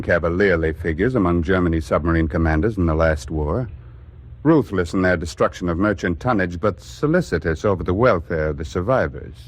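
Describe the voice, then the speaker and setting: A man delivering solemn, rhetorical narration in the dramatic style of an old-time radio documentary drama. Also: low-pitched.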